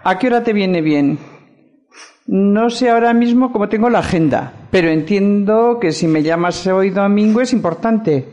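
A woman's voice: mid-range voice, moderate pace, dark tone, lightly acted. A woman with a Spain Spanish accent playing a businesslike, practical woman in an amateur radio drama. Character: businesslike adult woman on a phone call.

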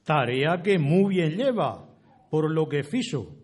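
Man playing a slow-talking rustic settler, higher-pitched. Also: big pitch swings, darkish tone, exaggerated voice. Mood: curious, deferential. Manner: rustic, old-fashioned turns of phrase. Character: respectful, inquisitive rustic male settler seeking land. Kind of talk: amateur historical radio drama acting.

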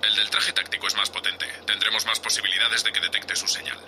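A man's voice: fairly low pitch, flat delivery, fast talker, bright, forceful tone. Man as irritable foul-mouthed captain, Spain Spanish, curt, scolding, tense hard-edged voice, sci-fi audio drama, faint ship noise. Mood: resigned, businesslike.

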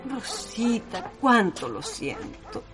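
A compassionate city woman in a Chilean radio melodrama. Her highish voice swings widely with emotion, exclamatory and distraught, in a Chilean accent over clear background music. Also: very animated, slow pace, fairly bright tone, full character voice. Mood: pained sympathy. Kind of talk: melodramatic radio drama acting.

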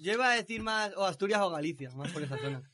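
A man's voice: high voice, lively intonation, quick pace, a crowd in the background. A man taking part in a casual quiz, guessing and bantering. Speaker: quiz participant.

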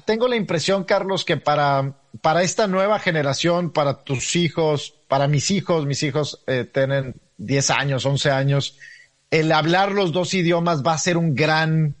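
A man's voice: fairly high pitch, a little slow. A man interviewing a chef, asking probing, chatty questions and adding his own reflections.

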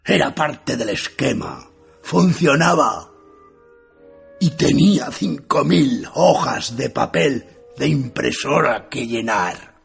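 Man playing sardonic male writer, radio play narration, slow, swinging intonation, rough husky voice, deadpan. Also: mid-range voice, soft background music, exaggerated voice. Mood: deadpan, weary. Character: jaded, sardonic male writer narrating his idle days. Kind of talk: first-person radio theatre narration.

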